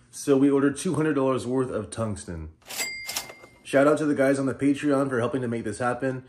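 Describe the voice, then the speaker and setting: A man's voice: medium pitch, a little mellow. A man in his thirties hosting a vlog in an informal, matter-of-fact way, recorded in a living room. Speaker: video host. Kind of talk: casual explainer vlog.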